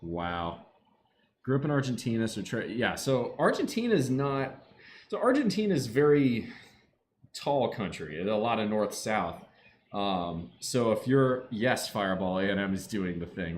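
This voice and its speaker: A man chatting casually to his live stream from home, slipping into sudden excited yelling at a football game. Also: lowish voice, a little hiss or hum. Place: home room.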